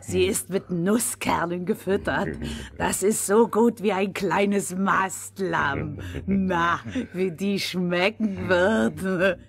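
Creaky old robber woman, drawling greedily. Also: fairly low pitch, takes it slowly, hardly any pauses, faint music behind. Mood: gloating. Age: older. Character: wild old robber woman, greedy, cackling, doting mother. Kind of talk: theatrical fairy-tale audio play acting.

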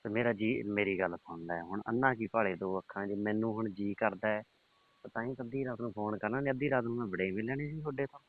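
A man's voice: on the low side, quick pace, no room sound. A middle-aged man, having a casual private chat over the telephone. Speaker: uncle on a phone call. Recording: tinny, band-limited phone call recording.

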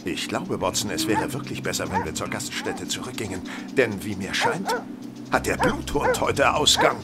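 Commanding, observant detective for audio drama. Articulate, formal, mildly condescending, with a widely varied pitch. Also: male voice, wildly swooping pitch, moderate pace, loud music behind the voice. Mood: quietly concerned. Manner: articulate, formal, wryly superior. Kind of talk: radio drama acting.

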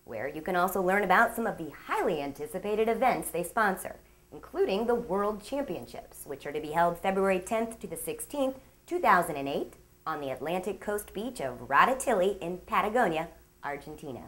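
A woman's voice: lowish voice, lively intonation, fairly quick. A woman hosting a boating magazine show, reading a scripted narration in an upbeat way with playful asides. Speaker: show host.

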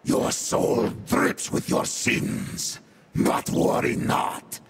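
A man playing a sadistic, vengeful infernal beast warrior in a video game, with a harsh demonic growl and theatrical, deliberate delivery. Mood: sadistic, menacing. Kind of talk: video game character voice lines.

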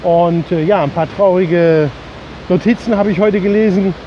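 A man's voice: high-pitched, normal speed. A man in his fifties from Germany, chatting casually to his viewers as host of a vlog, recorded outdoors at arm's length. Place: outdoors on a beach. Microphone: phone or camera at arm's length. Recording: dull lo-fi sound, outdoor wind or ambient noise.

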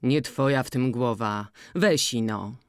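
A reader voicing an older, stubborn farmer who speaks low and coaxing in an audiobook. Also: unhurried, clear, resonant tone, no room sound, studio quality, natural voice. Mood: tender. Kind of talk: audiobook character voice.

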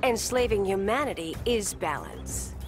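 A woman's voice: medium pitch, lively intonation, a little slow, natural voice. A woman voicing determined, assertive young superpowered figures in a video game. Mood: cold. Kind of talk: video game character dialogue. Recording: loud music behind.

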